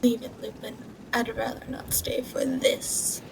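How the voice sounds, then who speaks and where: A woman playing a cold, spiteful male schoolteacher in an audio drama, speaking with breathy, deliberate disdain. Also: on the high side, flat delivery, a little hiss or hum, rough recording. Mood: sneering. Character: cold sneering male potions teacher, spiteful. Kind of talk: fan audio drama acting.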